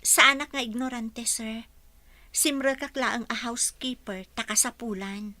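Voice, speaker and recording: Young housekeeper girl, high bright voice, earnest and a bit pert, radio drama. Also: steady tone, measured pace, no room sound. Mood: pert, respectful. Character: plucky young female housekeeper, dutiful daughter. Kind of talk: Ilocano radio drama acting.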